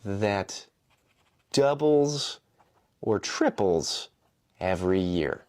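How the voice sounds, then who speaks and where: A man's voice: on the low side, animated, very slow, a little mellow. A man giving dry, mock-earnest step-by-step commentary in a short video clip.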